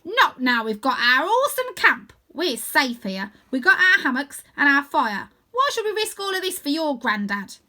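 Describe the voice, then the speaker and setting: A woman's voice: high voice, big pitch swings, moderate pace, few pauses, bright, forceful tone, pitched above the performer's own voice, exaggerated voice. A woman voicing a tough, mean eight-year-old girl who leads a gang in a storybook, bossy and sneering. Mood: defiant.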